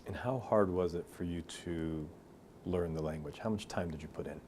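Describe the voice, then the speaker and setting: A man's voice: low voice, moderate pace, a little mellow, a little hiss or hum. A man interviewing a guest, asking short, curious follow-up questions. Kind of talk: casual interview.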